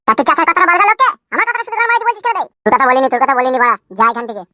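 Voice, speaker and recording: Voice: sits high, sing-song, rapid-fire, bright, forceful tone, no room sound, studio quality, exaggerated voice. A performer voicing a cheeky, foul-mouthed village cartoon character with a rural West Bengal accent, arguing in brash slang. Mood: quarrelsome. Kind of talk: comic cartoon dialogue.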